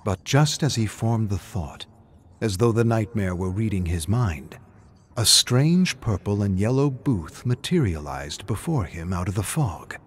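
A man's voice: lowish voice, animated, measured pace, slight background noise. A man reading a scripted story aloud as a narrator, with theatrical, suspenseful delivery. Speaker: narrator reading game lore.

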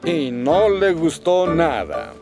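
A man's voice: fairly high pitch, sing-song, slow, exaggerated voice. A man with a Latin American accent giving scripted children's storybook narration. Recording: music bed behind narration.

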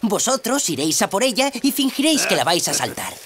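A woman's voice: medium pitch, big pitch swings, fast talker, slight background noise, close to an everyday voice. A woman voicing an eager, boastful young male warrior with a Castilian Spanish accent in a children's cartoon dub. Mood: confident, scheming. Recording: loud music behind the voice.

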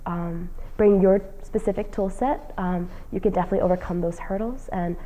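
A young woman from New Jersey talks about her plans in a relaxed, mellow voice, seated in an indoor lounge. She speaks earnestly and keeps pausing on "um" fillers. The sound is close and clean from a lapel mic. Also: medium pitch, a little hiss or hum, rough recording. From New Jersey, United States. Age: young adult. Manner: casual, frequent "um" fillers, earnest. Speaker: graduating senior, fellowship recipient. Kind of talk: interview answers. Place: indoor lounge with plants and leather chair.

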